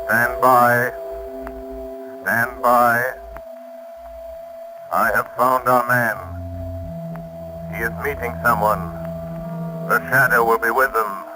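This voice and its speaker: An actor playing a grave, mysterious messenger giving deliberate, ominous summons in a 1930s radio drama. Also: male voice, medium pitch, close to an everyday voice, low-quality recording. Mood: ominous, commanding. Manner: slow, monotone, deliberate summons. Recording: old radio recording under loud music.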